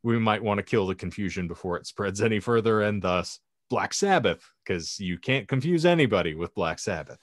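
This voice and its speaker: A man's voice: lowish voice, animated, normal speed, few pauses, no echo, studio quality. A man hosting a panel podcast, chatting and telling anecdotes in wordy, ornate phrasing. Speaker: podcast host.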